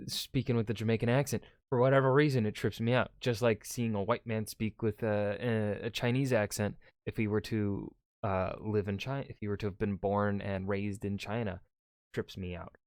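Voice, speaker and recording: A man with a Midwestern American accent giving casual reaction commentary, halting and searching for words with many fillers. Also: lowish voice, steady tone, a little mellow, dry recording, studio quality. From United States. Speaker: historian reacting to videos.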